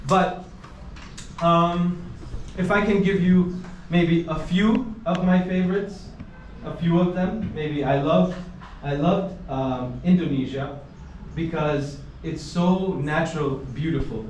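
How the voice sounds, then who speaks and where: A travel vlogger talking to a class of students in a school, animated and laughing often, using simple clear wording. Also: male voice, fairly high pitch, slow pace, slight background noise. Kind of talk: casual talk to a class. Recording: echoey room, from a distance.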